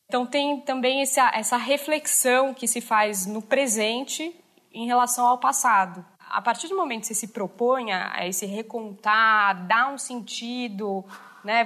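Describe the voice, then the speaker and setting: A woman's voice: a little high, fairly bright tone. A woman with a Brazilian accent explaining casually, as an educator does. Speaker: educator explaining autobiography writing.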